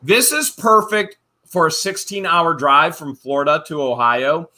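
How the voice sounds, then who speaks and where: A man co-hosting a casual vlog, opening fan mail and reading letters aloud with playful, animated jokes. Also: on the high side, unhurried. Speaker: channel co-host.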